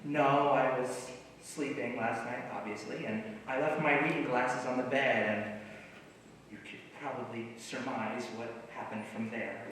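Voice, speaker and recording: A man's voice: medium pitch, fairly even intonation, a little slow, fairly bright tone, close to an everyday voice. A man playing an ailing, grouchy comedy writer of thirty-nine on stage, wisecracking and theatrical, swinging between mutters and outbursts. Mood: grumbling. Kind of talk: live one-act stage comedy acting. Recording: echoey hall, distant mic, faint noise.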